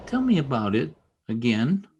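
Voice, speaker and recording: An actor playing a proud, brooding young male outcast in an old-time radio drama, intense and sullen with a husky voice. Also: medium pitch, sing-song, moderate pace, a little mellow, highs cut off, heavily acted.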